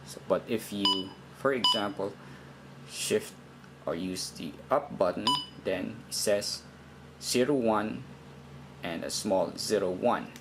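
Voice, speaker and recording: A man giving an informal step-by-step tutorial in a halting, deliberate way, often repeating himself. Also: fairly low pitch, very noisy. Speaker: instructor in a how-to video.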